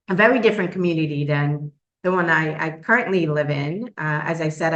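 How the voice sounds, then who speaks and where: A woman's voice: deep voice, fairly bright tone, reverberant, rough recording. A woman from Trinidad, a professor, giving an earnest webinar talk with frequent ums and uhs. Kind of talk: webinar presentation.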